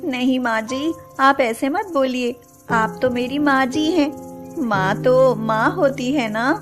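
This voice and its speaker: A woman's voice: high voice, slow pace, over music. A woman playing a meek, long-suffering young daughter-in-law, plaintive, in a melodramatic cartoon moral tale. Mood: earnest, placating. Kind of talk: melodramatic moral story voice acting.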